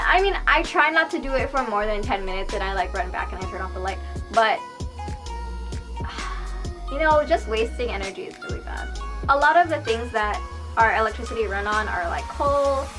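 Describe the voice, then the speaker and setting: A young woman from Hawaii talks casually and confessionally to camera, like a vlogger, in a room at home. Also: a little high. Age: young adult. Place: small room at home, indoors. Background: soft background music.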